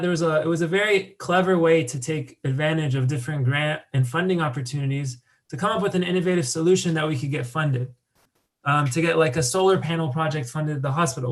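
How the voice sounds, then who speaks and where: A man's voice: a little high, fairly bright tone. Conversational American man giving a talk. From United States. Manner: loose and conversational, many fillers and restarts. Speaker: conference speaker. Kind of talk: conference presentation with slides.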